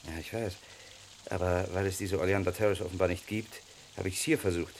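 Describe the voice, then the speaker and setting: An actor playing a polite but irritated lawyer in a vintage radio crime play, speaking formally with dry sarcasm. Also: male voice, sits low, steady tone, a little fast, slight background noise. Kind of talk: 1950s-80s radio crime drama.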